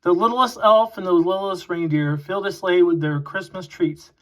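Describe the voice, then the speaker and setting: A man with a fairly high voice narrates a children's storybook. He phrases each line deliberately, in the style of a read-aloud for young listeners. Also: steady tone, a bit bright, low-quality recording. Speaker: children's picture book reader.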